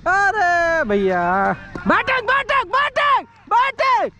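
A man with a very high, bouncy voice shouts encouragement and instructions across an outdoor cricket ground. The field recording is noisy, with traffic and ambience clearly in the background. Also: takes it slowly. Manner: excitable, calls out instructions to teammates. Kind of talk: shouted on-field calls and banter.

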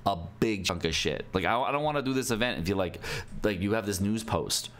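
A man's voice: lowish voice, fairly quick, a bit bright. A young man commentating casually on a game livestream, reading aloud and reacting in informal, swear-prone slang. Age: young adult. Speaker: streamer. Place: indoor room at a computer, livestream.

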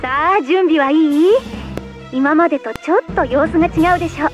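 A woman's voice: high-pitched, normal speed, highs cut off, lightly acted. A woman voicing a perky, playful young guide in a video game, chirpy and casual as she explains and teases. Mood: playful, helpful. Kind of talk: animated Japanese video game cutscene acting. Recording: music behind the voice.

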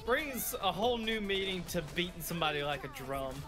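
A man's voice: high voice, lively intonation, a little fast, over music. A man co-commentating on a reaction stream in casual chat, with an amused, giggly manner.

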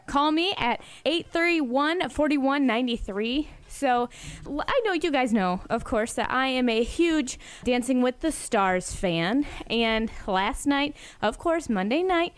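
A young adult American woman presenting casually on college radio, chatting informally to her listeners. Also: high-pitched.

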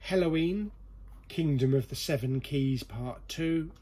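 Male vlog host, slightly high voice, informal and jokey, faint noise behind him in a storeroom. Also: measured pace. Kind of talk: casual chat while going through a collection. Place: indoor storeroom full of boxes.

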